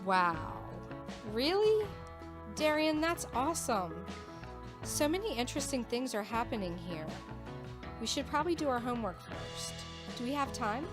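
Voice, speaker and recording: An eager young female student, voiced by a woman in an audiobook drama. Her voice is fairly high and swings widely in pitch, earnest and friendly, over clear background music. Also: sing-song, measured pace. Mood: excited.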